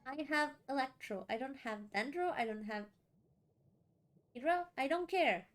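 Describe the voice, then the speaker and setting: Animated young woman streamer. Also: sits high, normal speed. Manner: playful, chatty, exclaiming. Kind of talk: casual live game stream commentary. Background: game music.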